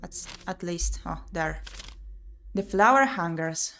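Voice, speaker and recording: A woman doing let's-play commentary, reading game narration aloud and muttering and exclaiming to herself in an expressive way. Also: fairly low pitch, slow pace, slight background noise. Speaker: streamer playing and reading a visual novel. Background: music.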